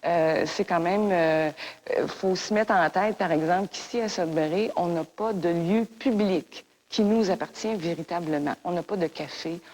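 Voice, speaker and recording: A woman's voice: lowish voice, normal speed, darkish tone, poor audio. A woman speaking in an interview clip, hesitant and full of fillers.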